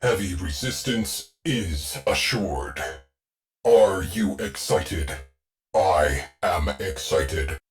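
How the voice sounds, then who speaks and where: A man playing a terse, stoic military ship AI in a video game, giving mission briefings in a clipped, deadpan, commanding way. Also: low voice, very slow, heavily acted. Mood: deadpan, dry. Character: terse, stoic ship AI, male military tactician with dry wit.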